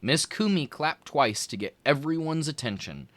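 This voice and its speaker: A man reading a children's chapter book aloud in an expressive storytelling way. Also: fairly high pitch, normal speed. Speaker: read-aloud narrator.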